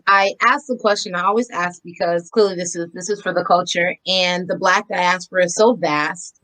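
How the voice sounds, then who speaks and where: A woman hosting a podcast interview, enthusiastic and warm toward her guests, with frequent "um"s. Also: mid-range voice, low-quality recording. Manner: enthusiastic, many "um"s. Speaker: podcast host. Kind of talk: casual interview.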